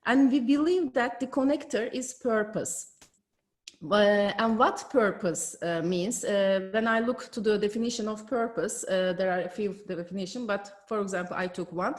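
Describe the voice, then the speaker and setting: A woman's voice: fairly high pitch, moderate pace. A woman from Turkey with a Turkish accent giving an online conference keynote from home, careful with frequent hesitations, on a video call mic. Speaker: keynote speaker.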